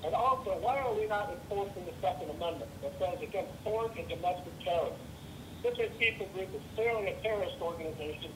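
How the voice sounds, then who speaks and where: A man's voice: high-pitched, fast talker, roomy sound. Noisy phone-in caller, blunt man. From Los Angeles, United States. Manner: blunt, insistent. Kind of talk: opinionated call-in complaint. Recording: noisy telephone call to show.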